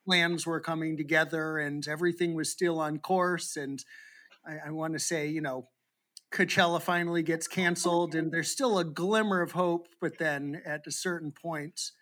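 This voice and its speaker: Boston guy hosting an interview, kinda higher voice, long rambly questions. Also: fairly even intonation, normal speed, fairly bright tone. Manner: chatty, long winding questions. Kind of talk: casual interview chat.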